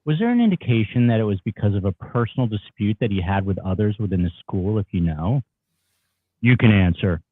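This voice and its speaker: A man acts as a businesslike male attorney at a deposition. He sounds thin and phone-like, with a dry, close sound. His pitch is slightly low and his manner formal. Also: expressive, fairly quick, breathy edge, no echo. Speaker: reader playing an attorney. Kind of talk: dramatic reading of a deposition. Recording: dull, phone-like, band-limited line.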